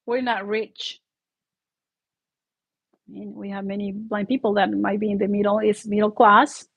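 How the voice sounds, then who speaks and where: A woman's voice: medium pitch, little pitch movement, moderate pace, gravelly, husky, dull audio. A woman from Mexico with a Mexican Spanish accent hosting her own channel, speaking earnestly and laughing often while sick with the flu and a sore throat. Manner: earnest, heartfelt, laughs often mid-sentence. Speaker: YouTube channel host. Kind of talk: casual livestream monologue.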